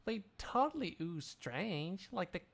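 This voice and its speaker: A man's voice: sits high, big pitch swings, slow pace, gravelly, background noise, voice pushed up, heavily acted. A man voicing a dim, dreamy young female flight attendant with an airy, drawling, vague way of talking in a live game fandub. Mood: dreamy, unbothered.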